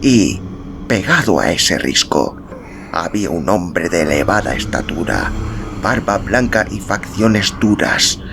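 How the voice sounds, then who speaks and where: A man narrating a novel in a solemn, expressive, dramatic storytelling style, with music behind him. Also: low-pitched, takes it slowly, vocal fry, noisy background. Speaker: audiobook narrator. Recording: music bed under narration.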